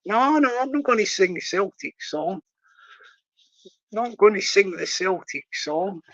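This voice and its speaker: A man's voice: high voice, expressive, measured pace, fairly bright tone, muffled recording. A man hosting his own channel, chatting and teasing viewers in a rambling, jokey way between a cappella songs. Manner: jokey, rambling, teasing banter with many restarts.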